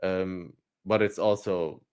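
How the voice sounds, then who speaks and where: Male guest from Palestine with a very deep voice, relaxed and wry in casual talk. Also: slow, clean tone, soft background music. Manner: relaxed and joking, with restarts. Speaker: guest activist. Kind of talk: casual conversation.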